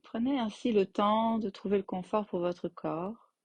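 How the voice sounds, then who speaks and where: Very slow, soft-edged woman guiding meditation. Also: medium pitch, no room sound, muffled recording. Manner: gentle, unhurried phrasing with long pauses. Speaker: yoga nidra teacher guiding relaxation. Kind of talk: guided meditation, slow soothing instruction.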